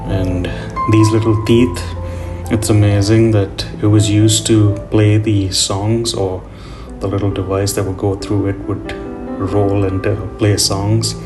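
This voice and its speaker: A man's voice: lowish voice, flat delivery, a little slow. A middle-aged man giving a casual, rambling voiceover in a nostalgic, reflective manner, as host of a drawing tutorial. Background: guitar music.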